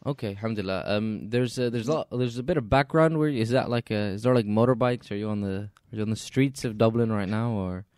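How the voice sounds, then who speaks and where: A man hosting a podcast interview, talking in a polite, measured way with light joking. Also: fairly low pitch, darkish tone, smooth, dry recording, studio quality. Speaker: podcast host. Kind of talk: casual interview chat.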